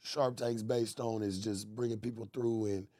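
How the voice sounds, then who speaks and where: A man's voice: fairly low pitch, fairly even intonation, moderate pace, dark tone, dry recording. A man hosting a casual interview, asking blunt, slangy, prodding questions. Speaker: interview host.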